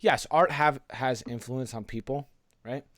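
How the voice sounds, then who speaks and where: A man's voice: medium pitch, unhurried, fairly bright tone, no room sound, studio quality. A man co-hosting a podcast in casual, informal conversation, often checking in with rhetorical questions. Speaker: podcast co-host.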